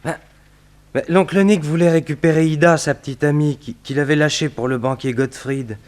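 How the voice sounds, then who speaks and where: A male actor plays a chatty, innocent young man in sixties French radio drama style. His voice sits a little high, and he tells things in a rambling, guileless way. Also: fairly even intonation, moderate pace, close to an everyday voice. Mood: naive, earnest. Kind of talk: 1960s French radio serial acting.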